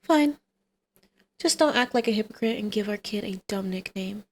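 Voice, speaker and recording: A woman's voice: mid-range voice, lively intonation, normal speed, close to an everyday voice. A woman playing a flirty female villain and doting mother in an ASMR roleplay, with a teasing, coaxing, sardonic manner.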